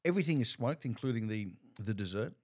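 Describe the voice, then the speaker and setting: A man's voice: mid-range voice, animated, darkish tone. A middle-aged man chats as a podcast host into a desk mic in a small studio. He talks in a loose, stop-start way, repeating words and restarting as he gathers his questions. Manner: halting, repeats words while thinking. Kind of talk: casual interview chat. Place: bar-like studio room, indoors. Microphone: desk mic on a stand.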